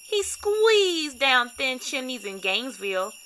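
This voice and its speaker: Female storybook reader for kids: very bright, animated pitch, dramatic emphasis. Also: a little slow, a little hiss or hum. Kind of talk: read-aloud scripted narration for kids.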